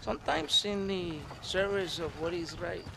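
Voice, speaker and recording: Mexican army captain, Mexican Spanish accent, theatrical, sly, fairly high voice, noisy outdoor game scene. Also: male voice, expressive, normal speed, vocal fry. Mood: mournful. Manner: mood swings from mocking laughter to hushed, mournful confiding. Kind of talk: video game cutscene acting.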